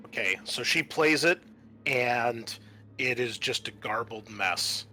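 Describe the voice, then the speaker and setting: Bright, forceful-voiced man running a game. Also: medium pitch, takes it slowly, a little hiss or hum. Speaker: game master. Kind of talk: improvised narration of a tabletop game.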